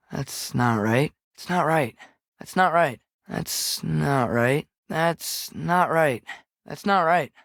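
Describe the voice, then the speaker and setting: A man's voice: on the high side, a bit bright, no echo, clean studio sound. A young male actor playing a curious, watchful teenage boy adventurer in a video game, giving short companion callouts. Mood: doubtful, musing.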